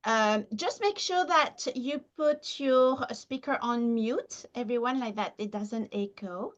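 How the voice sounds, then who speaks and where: A woman's voice: on the high side, takes it slowly. A woman hosting a skincare training webinar over a video call, introducing her guest with enthusiasm. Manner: enthusiastic, encouraging.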